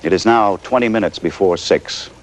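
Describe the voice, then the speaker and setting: A man playing a composed television news anchor covering a crisis live, measured but pausing haltingly. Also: low voice, lightly acted. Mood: grave, measured. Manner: measured, hesitant live delivery with halting pauses. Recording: noisy 1980s television broadcast audio.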